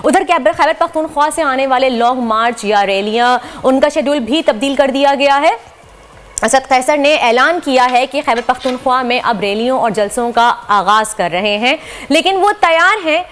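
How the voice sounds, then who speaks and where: High-voiced female news anchor. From Pakistan. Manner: formal, measured delivery. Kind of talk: studio news reading.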